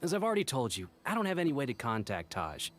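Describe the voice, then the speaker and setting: Tired clerk npc, bit worried, lots of pitch movement. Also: male voice, wildly swooping pitch, fast talker, fairly bright tone. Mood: weary. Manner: tired and patient. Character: weary male office worker, mildly worried. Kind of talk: game character dialogue.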